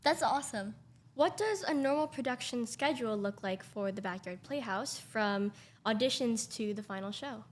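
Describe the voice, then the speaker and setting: A woman's voice: fairly high pitch, expressive, moderate pace. A woman co-hosting an interview show, putting prepared questions to guests in a polite, appreciative way.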